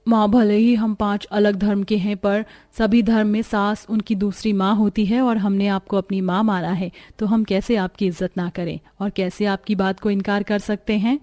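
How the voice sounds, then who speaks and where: A woman's voice: mid-range voice, flat delivery, moderate pace, hardly any pauses, mellow, close to an everyday voice. A woman voicing an eager, obedient young newlywed daughter-in-law, respectful and earnest, in a cartoon story.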